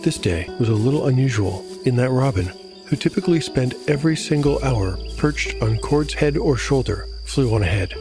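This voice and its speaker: A man narrates a dark fantasy audio drama as its solo game master. His delivery is that of scripted storytelling and game commentary. Music plays clearly behind him, along with cricket sounds. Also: mid-range voice, lively intonation, moderate pace.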